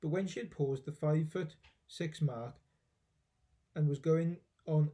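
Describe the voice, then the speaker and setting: A man reading a children's story aloud in an expressive storybook narration style. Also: on the high side, measured pace.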